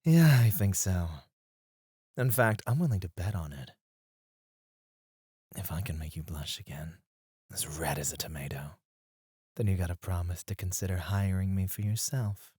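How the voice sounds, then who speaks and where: Man acting a low, breathy, teasing male escort. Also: lively intonation, a little mellow, no room sound, clean studio sound, exaggerated voice. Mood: playful, coaxing. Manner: slow, teasing, seductive, often dropping to a breathy murmur. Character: suave, teasing male escort at a club, flirtatious. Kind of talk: ASMR roleplay, intimate close delivery. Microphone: close studio microphone, dry.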